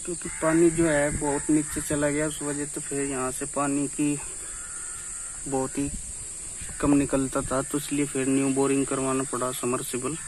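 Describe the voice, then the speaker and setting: A man's voice: mid-range voice, completely flat delivery, moderate pace, a little mellow, a little hiss or hum, low-quality recording. A young man giving a casual walking tour vlog of his village farmland, chatting to viewers as friends. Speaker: village vlogger. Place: outdoors on farmland by a pond.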